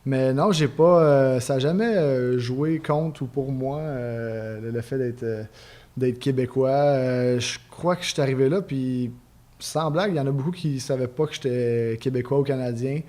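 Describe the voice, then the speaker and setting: A man's voice: mid-range voice, normal speed, dark tone. A man with a Quebec French accent, a former ball player answering as a podcast guest, hesitant with many fillers and frequent laughter. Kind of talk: casual podcast conversation.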